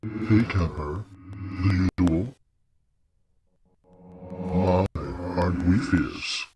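A man voicing a menacing, mocking alien in a cartoon, with a pitched-down voice and backward reverb effect. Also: extremely slow, very noisy. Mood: sly, mocking.

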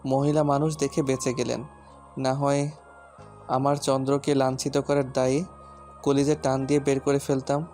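Male actor as angry protective husband, hard commanding delivery, narrow even pitch, audio story, music underneath. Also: normal speed, long pauses, natural voice. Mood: furious, threatening. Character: fierce, stubborn, protective husband in a rage. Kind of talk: dramatised audio story acting.

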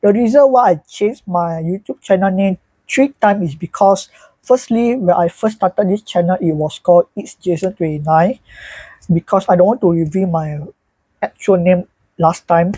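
Husky young man, dry room. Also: sits high, moderate pace, dark tone, dry recording, low-quality recording. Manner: chatty, loose, repeats "so yeah" and "alright, guys". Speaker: YouTuber. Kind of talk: casual vlog talk to camera. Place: small bedroom with a fan, indoors, seated.

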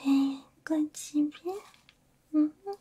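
A woman whispering softly in an ASMR roleplay, gentle and reassuring, speaking close into a binaural microphone. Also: sits high, takes it slowly. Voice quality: breathy, mostly whispered. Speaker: ASMR roleplay creator playing a robot repair technician.

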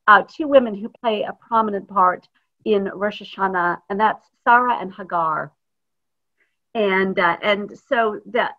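Woman rabbi, thoughtful unscripted teaching, middle-pitched voice, dull video-call audio. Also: creaky. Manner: thoughtful, searching for words. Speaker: rabbi, guest speaker. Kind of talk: informal teaching talk.

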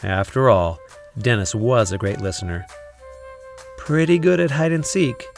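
A man reading a children's story aloud, expressively and deliberately with dramatic pauses. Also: mid-range voice, expressive, gravelly, faint music behind. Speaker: storybook reader. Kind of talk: scripted children's story narration.

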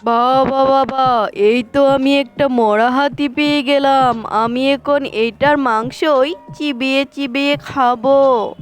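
A woman voicing a sly, flattering fox in a children's cartoon, with a wheedling, theatrical delivery. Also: high-pitched, slow, smooth, soft background music. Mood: gleeful.

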